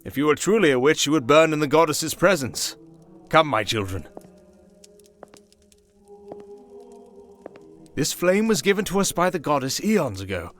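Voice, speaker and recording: A man voicing a kindly, wry old high priest in a fantasy audio roleplay, with fatherly, ceremonious, unhurried phrasing. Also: sing-song, fairly bright tone, soft background music. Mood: amused, fatherly. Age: older.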